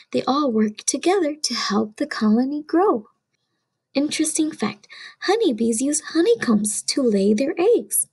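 A woman with a high, slightly husky voice reads a children's picture book aloud. She takes her time and speaks expressively, pitching every line to young listeners. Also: a little mellow. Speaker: storyteller reading aloud.

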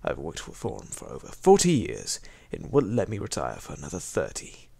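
Man playing a creaky, gossipy old butler. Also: rumbling low, wildly swooping pitch, normal speed, mellow, no room sound, full character voice. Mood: indignant. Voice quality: husky, creaky. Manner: formal, deferential. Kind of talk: audio drama acting.

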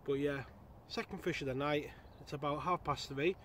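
A man's voice: a little high, fairly even intonation, normal speed, a bit bright, slight background noise. A young man chatting casually about the fish he catches, recorded outdoors on a pond bank with an action camera nearby. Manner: chatty, upbeat, with frequent fillers. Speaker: angler vlogger. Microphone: action camera mounted nearby.

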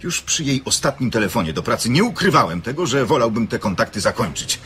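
An actor playing a polite, puzzled gentleman with a courteous, formal manner in an audio drama. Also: medium pitch, very animated, fast talker, slight background noise. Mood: measured explanation. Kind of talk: scripted audio drama acting.

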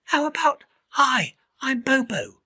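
A brisk, bossy little winged female creature from a storybook, voiced by an older man. She speaks high and very animatedly, coaxing with emphatic swoops. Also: big pitch swings, sharp tone, husky, heavily acted. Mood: encouraging. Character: brisk, encouraging female winged friend of elephant.

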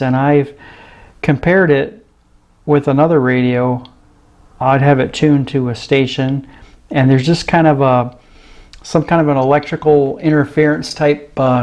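Guy at his desk going over a radio, slow and easygoing, lots of ums and uhs. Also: fairly high pitch, a little mellow. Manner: unhurried, hesitant, many ums and uhs. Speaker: radio reviewer. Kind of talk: casual hands-on product review. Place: indoor room at a desk with gear. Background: radio noise and static at times.

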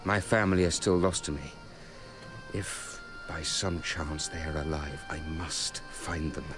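An actor playing a determined, vengeful young nobleman in a radio drama, brooding and deliberate in manner. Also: very deep voice, steady tone, loud music behind the voice. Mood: brooding, tender. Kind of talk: radio drama acting with first-person narration.

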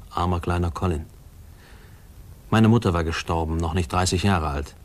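An actor playing a grown man wistfully recalling his orphaned boyhood, reflective and measured, in a 1950s radio play narration. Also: low-pitched, lightly acted.

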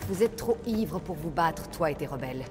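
A tough, wry mercenary woman with a lowish voice. She talks coolly and with self-assurance, as in a video game drama, while music plays strongly behind her. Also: lively intonation, moderate pace. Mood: cool, sceptical. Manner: cool, dry, self-assured. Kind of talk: video game voice acting in French. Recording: game dialogue over loud music.

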